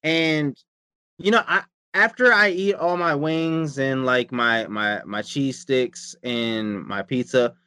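A man's voice: medium pitch, takes it slowly, bright, forceful tone, smooth, dry recording. A man chatting casually about his diet and workouts, rambling and profane, often repeating himself. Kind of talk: casual chat.

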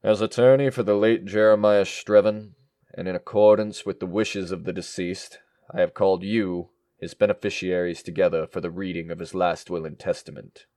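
An actor playing an unflappable male attorney in an audio drama, speaking in a measured, officious way. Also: deep voice, steady tone, warm tone, dry recording, clean studio sound. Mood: solemn. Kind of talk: audio drama acting, reading a will.

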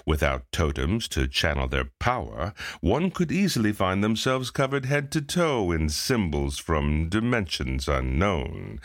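A man's voice: rumbling low, no room sound, studio quality. A man narrating a fantasy audio drama in a wry, dramatic storytelling manner. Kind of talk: scripted narration.

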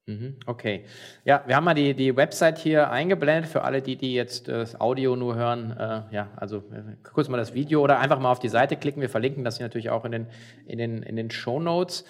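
A man hosting a business podcast, talking in a relaxed way with many fillers and restarts. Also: medium pitch, a little fast. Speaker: podcast host. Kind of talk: casual interview.

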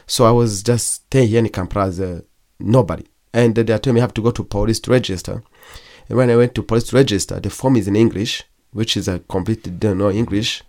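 A young man from Congo, whose first language is Kigogwe, recounts his life as an interview guest. His voice sits low. He talks haltingly, pausing often and restarting his sentences. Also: fairly even intonation, a little mellow. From Democratic Republic of Congo. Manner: halting, with frequent pauses and restarts. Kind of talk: personal testimony in an interview.

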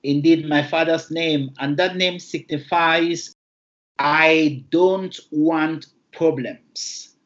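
A man from Cameroon with a Cameroonian English accent, a native Lamso speaker, answering interview questions in careful, formal phrasing with thoughtful pauses. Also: on the high side, steady tone, a bit bright, lo-fi sound. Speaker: interview guest.

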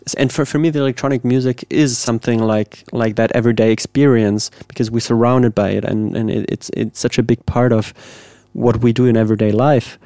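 A man, a composer, giving thoughtful, measured interview answers and searching for the right words. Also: medium pitch, fairly even intonation, mellow, no room sound. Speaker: composer guest.

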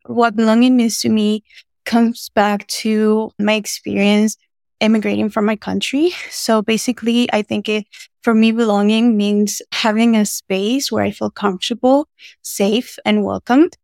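A woman's voice: medium pitch, fairly even intonation, unhurried, gravelly, a little mellow, no echo, studio quality. A young woman from Venezuela, a student intern, speaking thoughtfully in a casual podcast conversation. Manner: thoughtful, uses many fillers.

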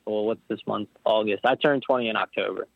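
A man's voice: fairly low pitch, fairly even intonation, a little fast, vocal fry, dry recording. A nineteen-year-old man from Pennsylvania, a racer, chatting casually in an interview and rambling with frequent restarts. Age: young adult. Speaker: guest, motorcycle racer. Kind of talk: casual interview over the phone. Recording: dry, tinny phone line.

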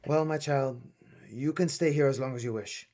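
An actor playing a stern older army colonel in a radio play, measured and authoritative, cold and guarded. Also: male voice, medium pitch, darkish tone, natural voice.

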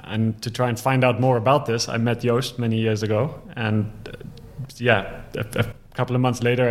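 A man's voice: medium pitch, a little mellow. A man from South Africa, a podcast guest, speaking hesitantly and often repeating words. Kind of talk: podcast interview.

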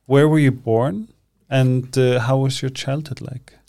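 Very deep-voiced, gravelly woman. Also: steady tone, a little slow, mellow. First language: Icelandic. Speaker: podcast host. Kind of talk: casual interview conversation.

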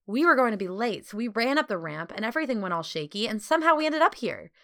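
Woman voicing an excitable, anxious chatterbox young girl. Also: very high voice, pitched above the performer's own voice, full character voice. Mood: anxious, gushing. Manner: rapid, gushing, emphatic.

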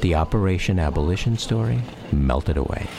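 An American man giving a measured, reflective first-person narration for a radio documentary drama. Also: low voice, lively intonation, very noisy.

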